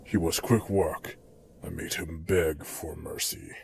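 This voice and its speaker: A man's voice: low-pitched, fairly even intonation, slow, creaky, slight background noise, full character voice. A man playing a cold, proud and merciless ninja assassin in an audio drama, stern and menacing. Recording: echoey room, music behind.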